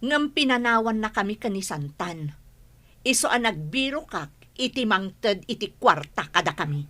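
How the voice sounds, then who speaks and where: A woman's voice: mid-range voice, big pitch swings, moderate pace, fairly bright tone. A woman acting a haughty, scornful madam in an Ilocano radio drama. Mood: defensive scorn. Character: haughty, sharp-tongued madam, scornful mistress of the house.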